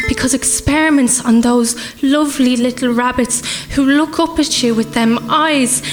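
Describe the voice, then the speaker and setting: A woman's voice: high-pitched, fairly bright tone. An Irish woman playing an earnest, superstitious young folk singer in a radio play, chatting in rambling asides.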